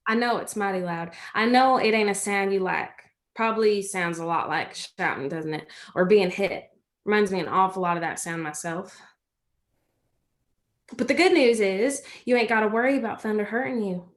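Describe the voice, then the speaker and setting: Woman playing a gentle, chatty country girl. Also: mid-range voice, normal speed, natural voice. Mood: soothing. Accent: American. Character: gentle, chatty rural girl, playful and comforting. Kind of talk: staged script reading.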